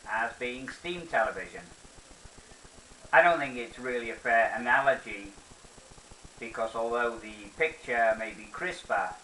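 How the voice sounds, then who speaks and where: An older man reflects nostalgically in a measured, slow delivery. His voice has a bright, firm edge. He sounds set back from the microphone in a roomy, echoing space. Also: medium pitch. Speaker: presenter. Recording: roomy echo, distant mic, faint hiss.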